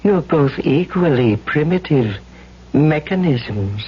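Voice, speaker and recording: Slow, imperious unseen entity. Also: male voice, a little high, expressive, breathy edge, full character voice. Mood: commanding. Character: commanding, scornful unseen entity speaking through a typewriter. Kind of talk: 1970s radio drama acting. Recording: muffled old radio broadcast, humming.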